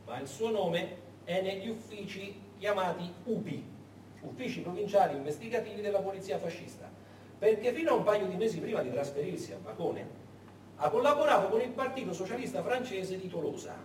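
A man narrating a scripted theatrical story in a dramatic, emphatic way, with suspenseful pauses. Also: high voice, animated. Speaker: stage narrator. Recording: echoey hall, distant mic, noisy.

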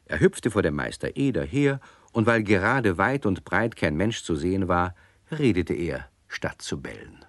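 A man narrating a children's story in a 1970s German radio play as a calm storyteller. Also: low-pitched, moderate pace, no room sound.

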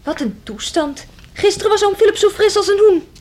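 An actress playing a witty, flippant young heiress in a radio play, talkative and theatrical. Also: female voice, very high-pitched, animated, a little fast, darkish tone. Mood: flippant, mocking.

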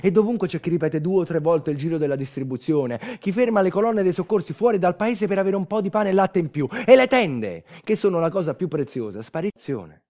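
A man performing a scripted stage monologue, dramatic, moving between hushed and emphatic delivery. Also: high voice, animated, a little fast, hardly any pauses. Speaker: stage actor, narrator of a monologue. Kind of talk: theatrical scripted storytelling.

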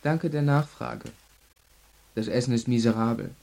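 An actor in a German radio crime drama playing an arrogant, self-assured young law student in custody, husky, clipped and defiant. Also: male voice, medium pitch, moderate pace, darkish tone, slight background noise, close to an everyday voice. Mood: sullen, defiant. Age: young adult.

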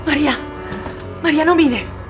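A woman's voice: sits high, normal speed, over music, natural voice. An actress playing an emotional woman caught in war, in an audio drama. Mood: frightened.

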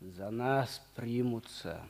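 An actor playing a grim, steady fortress defender in a 1950s Soviet radio war drama. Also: male voice, medium pitch, takes it slowly.

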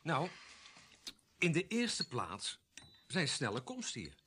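An earnest junior policeman in a radio drama. His pitch is animated and varied as he presses his suspicions with dutiful insistence. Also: slow, a little hiss or hum, full character voice. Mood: hesitant, suspicious. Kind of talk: naturalistic radio play acting.

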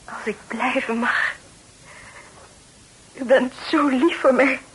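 A woman's voice: high-pitched, fairly even intonation, slow pace, slightly rough, heavily acted, rough recording. A woman playing a troubled, earnest young lady in a radio play, hesitant and emotional. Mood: grateful, earnest. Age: young adult. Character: distressed, grateful young lady with a secret. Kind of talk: Dutch radio play acting.

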